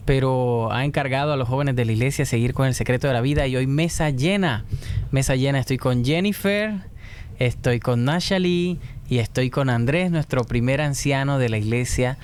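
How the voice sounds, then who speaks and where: A man hosts a relaxed church program with an easygoing, chatty delivery. His voice sits at an ordinary pitch, and a faint noise runs under the recording. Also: normal speed. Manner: friendly, chatty, frequent hesitations. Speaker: host. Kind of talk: casual church talk show. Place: church studio.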